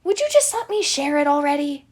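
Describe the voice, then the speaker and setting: A woman voicing a brash, mischievous young man, a crude and chaotic twin brother who exclaims and teases loudly in an audio story. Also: high voice, big pitch swings, a little fast, pitched above the performer's own voice. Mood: excited, insistent. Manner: loud, exclaiming, teasing.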